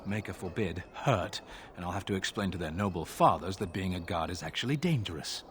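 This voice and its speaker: A man's voice: sits low, brisk, a bit bright. Weary fantasy-game guard sergeant, male, wry and sardonic, faint background noise. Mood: exasperated, dry. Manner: dry, wry, exasperated. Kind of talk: fantasy video game dialogue acting.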